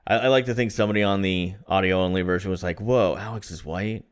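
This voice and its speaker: A man's voice: low-pitched, quick pace, smooth. A man in his thirties from Florida, co-hosting a podcast in a rambling, self-deprecating casual chat. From Florida, USA. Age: 30s. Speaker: podcast co-host.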